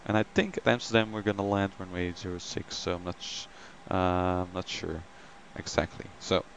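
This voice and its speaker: A man's voice: sits low, little pitch movement, moderate pace, vocal fry, slight background noise, rough recording. A man hosting a flight simulator live stream, chatting casually with many ums and greeting viewers by name.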